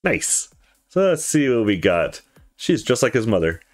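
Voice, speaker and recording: A man's voice: fairly low pitch, big pitch swings, measured pace, faint music behind. A man giving casual let's play commentary and reading visual novel dialogue aloud. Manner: casual, frequent swearing.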